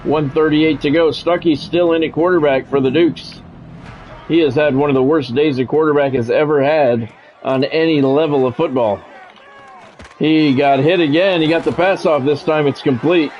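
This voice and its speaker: Higher-pitched man calling football play-by-play. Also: steady tone, moderate pace. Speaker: sports video game streamer and commentator. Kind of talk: play-by-play football commentary with casual asides. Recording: dull, lo-fi mic with faint noise.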